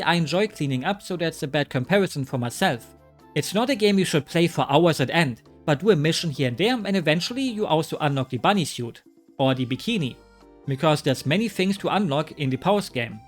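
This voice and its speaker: Wry, joking man reviewing games. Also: on the high side. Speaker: game reviewer. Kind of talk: scripted commentary.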